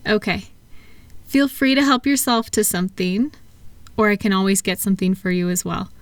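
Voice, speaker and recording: A woman playing a kind, unhurried attendant at a calming clinic, soft-spoken and reassuring in a relaxation roleplay. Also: mid-range voice, dry recording, lightly acted. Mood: gently hospitable.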